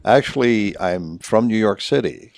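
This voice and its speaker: A man's voice: fairly low pitch, lively intonation, gravelly, a little mellow, no room sound. A middle-aged man from Puerto Rico, a reverse mortgage consultant chatting as a radio guest in an easygoing, agreeable way. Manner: affable, agreeable, quick to chime in. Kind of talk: casual radio interview.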